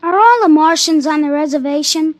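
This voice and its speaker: A woman's voice: high-pitched, fairly quick, lightly acted. A woman playing an earnest, curious young boy, a miner's child, in old-time radio drama. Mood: innocent, curious. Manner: earnest, questioning. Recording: vintage radio drama recording.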